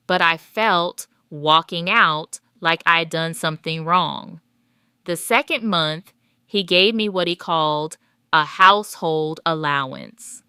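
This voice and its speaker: Woman narrating a first person drama as a wronged retired wife, low voice, slow and super crisp, measured delivery. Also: pushed and bright, no room sound, clean studio sound. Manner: measured, deliberate storytelling. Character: wronged older retired wife telling her story.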